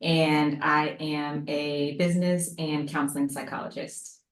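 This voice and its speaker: A woman's voice: deep voice, level tone, unhurried, roomy sound. A woman in her thirties from Maryland, a psychologist guest talking casually on a video call, chatty and saying "like" a lot. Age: 30s. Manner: warm, chatty, uses "like" often. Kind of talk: casual panel talk.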